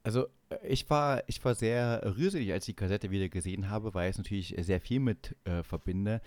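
A man's voice: on the low side, brisk, a little mellow, dry recording. Casual podcasting man in his forties, close sound. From East Germany. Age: 40s. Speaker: podcast co-host. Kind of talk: casual chat, storytelling.

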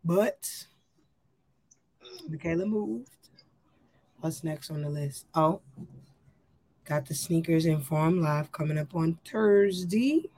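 A woman's voice: low-pitched, takes it slowly, long pauses, a little mellow. Laid-back slangy woman podcast host. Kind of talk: casual chat.

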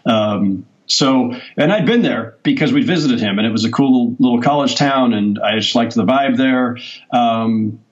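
A man's voice: medium pitch, measured pace, highs cut off. A sportswriter in his forties, a guest on a podcast, telling his story in a thoughtful, rambling way. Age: 40s. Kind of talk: casual interview talk.

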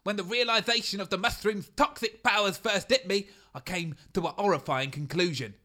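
A man's voice: high voice, brisk, sharp tone. A man doing a pompous, lecturing scientist. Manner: pompous, explanatory. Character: self-important male scientist, lecturing.

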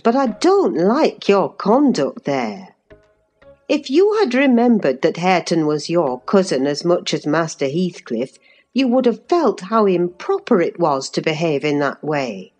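A woman's voice: fairly low pitch, a little mellow, pitched below the performer's own voice. Woman voicing an earnest older housekeeper. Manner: measured, reproving, earnest.

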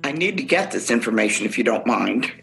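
A woman's voice: very deep voice, expressive, a little fast, gravelly, a bit bright, soft background music, full character voice. An actress playing a fussy, anxious germophobic woman in a radio play, with precise, prissy, easily exasperated delivery. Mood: curt. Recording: home-recorded virtual radio play.

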